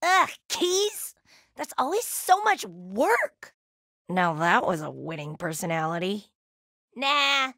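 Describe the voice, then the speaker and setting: A woman's voice: medium pitch, a bit bright, dry recording, clean studio sound, heavily acted. A woman voicing a brash, playful young female alien fighter in a video game, with a cocky, slangy, laid-back manner. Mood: dry, unimpressed. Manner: cocky, slangy, drawn-out exclamations. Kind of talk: video game character voice lines.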